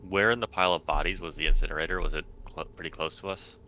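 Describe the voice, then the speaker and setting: A man's voice: low-pitched, racing, pushed and bright, lo-fi sound, a little hiss or hum. A man playing a tabletop game, casually and tentatively thinking his moves through aloud.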